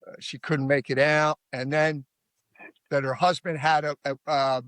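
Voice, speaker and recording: Philadelphia man, higher voice, rambling. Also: level tone, measured pace, fairly bright tone, dry recording. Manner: rambling, emphatic case retelling. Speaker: podcast guest. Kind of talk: casual true-crime discussion.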